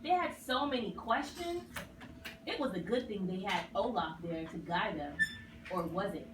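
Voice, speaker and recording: Female storyteller for a kids' puppet show: lively, fairly high voice, captured off-mic with noise behind. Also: moderate pace. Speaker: narrator. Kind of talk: scripted children's story narration. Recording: roomy, distant mic, clear noise.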